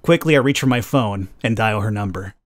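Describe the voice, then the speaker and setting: A man's voice: lowish voice, a little fast. A man reading game narration aloud in a dramatic storytelling way, as a let's play gamer.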